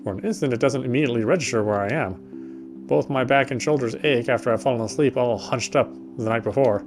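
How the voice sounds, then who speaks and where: A man reading a horror story aloud in dramatic narration, sometimes stumbling and rereading phrases. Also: mid-range voice. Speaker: gameplay streamer reading a visual novel aloud. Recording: music behind the voice. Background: game music.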